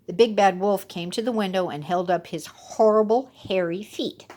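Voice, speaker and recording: A woman's voice: medium pitch, moderate pace, dark tone. A woman telling a children's fairy tale expressively, with dramatic and playful emphasis. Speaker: storyteller.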